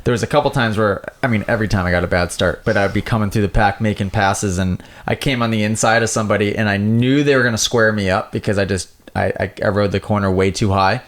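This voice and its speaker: A man's voice: fairly low pitch, fast talker, few pauses. A man who rides and coaches motocross, chatting casually and telling stories with a laugh. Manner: relaxed storytelling, laughs while telling. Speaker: motocross rider and riding coach. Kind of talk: casual chat.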